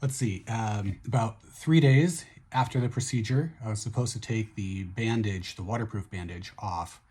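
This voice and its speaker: A middle-aged man with a hoarse voice talks casually at home in a video diary. His voice sits very low and sounds husky and rough. He is relaxed and upbeat, with many fillers and restarts. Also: moderate pace. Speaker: vlogger recovering from surgery.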